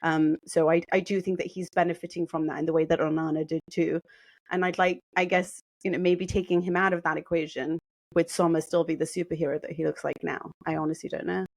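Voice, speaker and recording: Woman on a football pod, dry mic, calm, works through her point out loud. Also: low-pitched, brisk, dry recording. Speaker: podcast panelist. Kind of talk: casual football chat.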